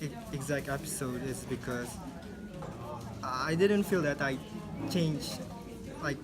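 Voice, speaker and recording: A man's voice: mid-range voice, slow pace. A man in his twenties whose first language is Indonesian, answering interview questions in a hesitant way, often restarting his sentences. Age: 20s. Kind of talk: casual reflective answer. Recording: loud crowd noise behind. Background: crowd chatter.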